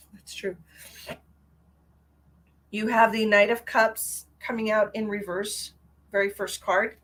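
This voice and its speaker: A woman, congested, chatting warmly with viewers during a live tarot reading. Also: mid-range voice, steady tone, slow, long pauses, poor audio. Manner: chatty and affectionate, addresses viewers directly. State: stuffy, congested. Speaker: psychic tarot reader.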